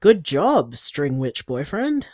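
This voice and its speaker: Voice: mid-range voice, very animated, slow, a little mellow. An actor playing an affectionate bar co-owner who leaves upbeat voice messages for a partner. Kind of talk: audio drama voice message.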